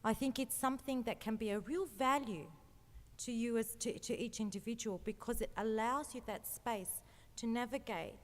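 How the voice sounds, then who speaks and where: A woman's voice: a little high, moderate pace. A woman from Egypt living in Australia, speaking reflectively as a panelist in an echoey hall. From born in Egypt, lives in Australia. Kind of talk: personal reflection in a panel discussion. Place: large indoor event hall. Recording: echoey hall public address sound.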